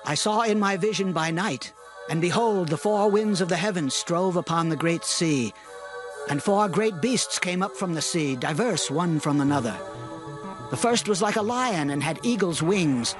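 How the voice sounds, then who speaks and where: An actor playing a devout, steadfast prophet in a dramatized scripture reading, with a reverent, declamatory delivery. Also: male voice, high-pitched, lively intonation, normal speed, over music. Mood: awed.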